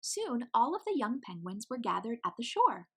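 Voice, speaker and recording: A woman reading a children's storybook aloud in an expressive narrating style. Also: fairly high pitch, normal speed, slightly rough.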